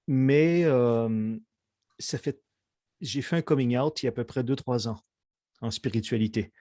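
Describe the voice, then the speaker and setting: Musician guest, middle-aged man, mid-pitched, hesitant casual talk, dry recording. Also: darkish tone, no echo. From Quebec, Canada. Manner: hesitant, many fillers and restarts. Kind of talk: casual interview chat.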